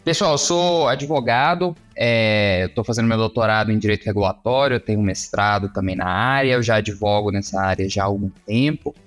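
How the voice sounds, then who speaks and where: A Brazilian man from Minas Gerais speaks as an interview guest. His voice is on the low side. He explains in a casual, conversational way, halting now and then to find a word. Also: soft background music.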